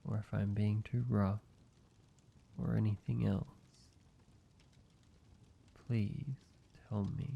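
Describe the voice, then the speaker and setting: A man playing a gentle, devoted male vampire lover in an intimate audio roleplay, speaking earnestly and haltingly to the listener. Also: on the low side, creaky, heavily acted. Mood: gentle, nervous. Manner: halting, hushed pleading, soft reassurance with pauses. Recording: dull sound, faint background noise.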